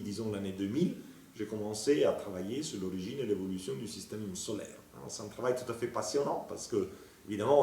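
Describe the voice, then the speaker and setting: Guy in his 50s, low voice, kinda far off the mic in a roomy space, explains science slow with lots of ums. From Italy. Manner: measured, explanatory, with frequent hesitations. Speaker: planetary scientist. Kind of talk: explaining his research to camera.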